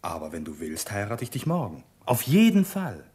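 An actor playing a devoted young fiancé in a German radio play, husky, anxious and earnest. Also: male voice, lowish voice, wildly swooping pitch, moderate pace, darkish tone, exaggerated voice. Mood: earnest, eager.

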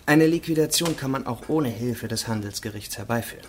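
An actor playing a self-assured, learned French court president in a radio play, explaining precisely and eagerly. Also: male voice, medium pitch, brisk, slight background noise, lightly acted. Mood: instructive, eager. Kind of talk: radio drama acting.

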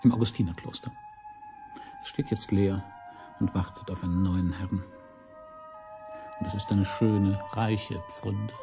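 An actor playing a prince's cool, wary envoy in a German radio play, speaking in a measured, patronising, admonishing way. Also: male voice, on the low side, huge pitch swings, vocal fry, loud music behind the voice, exaggerated voice. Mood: cool, bargaining. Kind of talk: German radio drama acting.

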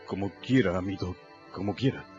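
A man's voice: low-pitched, a little fast, darkish tone, muffled recording, faint music behind, natural voice. An actor playing a fearful, deferential enslaved man in a radio drama, pleading and humble.

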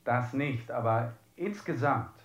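A man's voice: mid-range voice, takes it slowly, lightly acted. An actor playing a persistent, probing male interviewer in a re-enacted documentary interview. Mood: insistent. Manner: insistent, questioning. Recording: echoey room, dull muffled sound.

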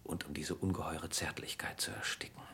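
An actor playing a courtly, cynical gentleman humbled by adoration in a German radio play, formal, measured and confessional. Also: steady tone, whispered, exaggerated voice. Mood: tender confession. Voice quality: very deep, gravelly.

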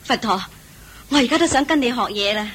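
A woman's voice: on the high side, expressive, brisk, fairly bright tone, husky. An actress with a Hong Kong Cantonese accent voicing a stubborn, grumbling female housekeeper in a radio drama. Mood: humbled. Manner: petulant, sulky and defiant. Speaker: voice actor.

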